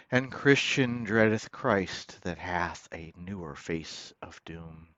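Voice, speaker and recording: A man's voice: lowish voice, a bit bright, no room sound. A man giving a dramatic poetry reading in a theatrical, solemn, declamatory manner, with dull, muffled sound. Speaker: poetry reader.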